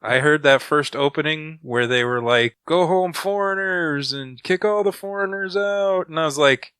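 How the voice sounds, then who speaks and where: A male podcast co-host in relaxed, agreeable casual chat. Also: fairly high pitch, normal speed, clean tone, no room sound. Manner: relaxed, agreeable back-and-forth.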